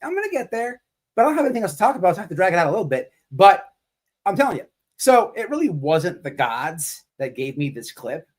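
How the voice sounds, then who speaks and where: A man's voice: high-pitched, very animated, fast. A man hosting a livestream, giving casual, mocking commentary in a profane, repetitive, rambling way.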